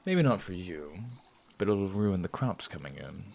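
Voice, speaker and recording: A man playing a sly, prying traveling performer, deep and gravelly. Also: sing-song, normal speed, a little mellow, heavily acted. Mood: teasing, prying. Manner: teasing, probing, smooth-talking. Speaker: traveling performer in an audio drama. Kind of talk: audio drama acting.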